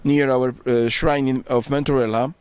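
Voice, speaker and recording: Middle-aged Polish priest, earnest and reflective, mid-pitched, working through his English outdoors. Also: fairly even intonation, normal speed, smooth, no room sound. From Poland. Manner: earnest, thoughtful, searching for English words. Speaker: priest and bishop-elect, interviewee. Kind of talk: casual interview. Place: rooftop outdoors.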